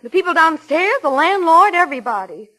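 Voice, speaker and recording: An actress playing an anxious, long-suffering American wife, earnest and pleading in vintage radio drama style. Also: female voice, very high-pitched, big pitch swings, a little fast, a bit bright, exaggerated voice. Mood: worried. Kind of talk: 1950s radio drama acting.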